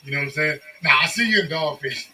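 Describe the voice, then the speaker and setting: A man's voice: a little high, fast, a loud crowd. A man talking in a casual chat, slangy and enthusiastic and full of admiration. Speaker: guest interviewer. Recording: bright sound with faint background noise.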